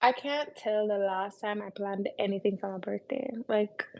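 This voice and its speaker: A young woman in casual conversation as a podcast co-host, musing in a low-key way. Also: steady tone, normal speed, vocal fry, darkish tone. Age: young adult. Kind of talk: casual chat.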